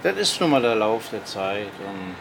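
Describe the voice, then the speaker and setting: An older man, a shopkeeper, chatting frankly and haltingly, repeating words as he explains. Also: on the low side, sing-song. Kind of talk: casual conversation. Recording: location recording with clear background noise.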